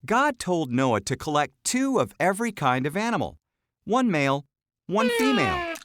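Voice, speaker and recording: A narrator reading a children's Bible story in simple, measured short phrases, in a scripted storytelling style. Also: medium pitch, wildly swooping pitch, no echo. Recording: loud music under the voice. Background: loud background music.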